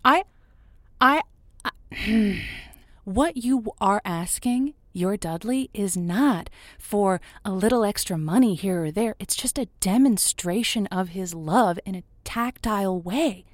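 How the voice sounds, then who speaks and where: A woman's voice: mid-range voice, no room sound, close to an everyday voice. A woman playing a romantic, sympathetic radio advice host in a semi-improvised audio drama, speaking in an earnest, emphatic way.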